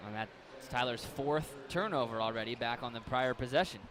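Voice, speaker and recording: A man's voice: medium pitch, a little fast. A young man giving conversational colour commentary at a live basketball game. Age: young adult. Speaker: colour commentator, former player. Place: courtside in an arena. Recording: noisy courtside with crowd. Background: crowd and bouncing basketballs.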